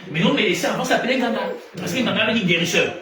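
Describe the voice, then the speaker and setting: A man preaching a sermon in an animated way, rising to loud emphatic peaks and then dropping low. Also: quick pace, crowd noise. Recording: echoey room from far off, noisy ambience.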